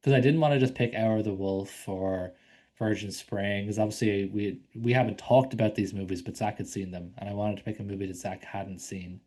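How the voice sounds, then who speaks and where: A man's voice: lowish voice, fairly even intonation, fairly quick, darkish tone. A man co-hosting a casual film podcast, rambling with lots of ums and likes and casual swearing. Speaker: podcast co-host.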